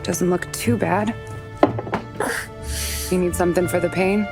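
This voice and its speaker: A woman's voice: lowish voice, fairly even intonation, a little slow, vocal fry. An actress playing a tough, sardonic young woman who talks bluntly and bosses people around. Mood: wry. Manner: blunt, wry, bossy. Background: game score music.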